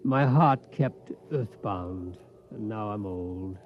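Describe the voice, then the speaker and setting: An actor playing an obsessed, determined old tycoon in a 1950s radio drama, reminiscing and insistent. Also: male voice, on the low side, slow pace, slight background noise. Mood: wistful, defiant. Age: older. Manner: reminiscing, insistent, tags sentences with "hmm?". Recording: dull 1950s radio recording.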